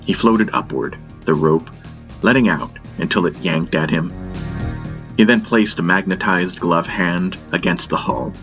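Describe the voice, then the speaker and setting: A man reading dramatic first-person story narration as an audiobook narrator, with measured, deliberate phrasing. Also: sits low, a bit bright, soft background music.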